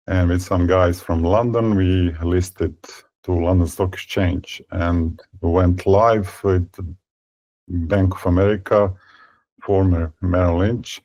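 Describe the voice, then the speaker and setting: A man in his fifties from Serbia, speaking as a guest in an interview. He talks haltingly, with many fillers and repeated words. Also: low-pitched, darkish tone, poor audio. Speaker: tech company founder, guest. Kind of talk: conversational interview.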